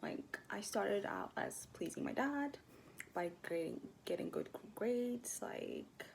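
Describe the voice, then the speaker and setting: A woman in her twenties from Ethiopia talking casually to her camera, soft-spoken and hesitant, often dropping to near-whispers. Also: mid-range voice, lively intonation, takes it slowly, vocal fry, slight background noise. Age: 20s. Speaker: vlogger. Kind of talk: casual personal talk to camera.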